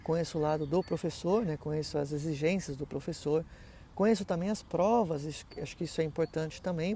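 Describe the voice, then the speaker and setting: A man's voice: a little high, warm tone, a little hiss or hum. A middle-aged man teaching German, explaining how his online courses work in a conversational way, full of fillers and tag questions. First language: Portuguese.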